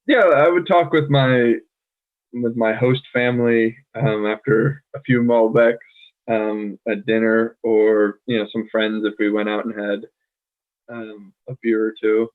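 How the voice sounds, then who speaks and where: A man from the United States co-hosting a casual chat, thoughtful and pausing often with "um". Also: fairly low pitch, steady tone. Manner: thoughtful, many "um" fillers. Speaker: podcast co-host. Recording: tinny phone-like line.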